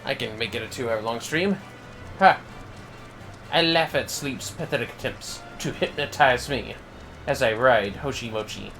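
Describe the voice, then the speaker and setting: A man's voice: mid-range voice, normal speed, a bit bright, soft background music. A man streaming a video game, chatting casually and muttering to himself as he plays. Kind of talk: casual livestream chat while gaming.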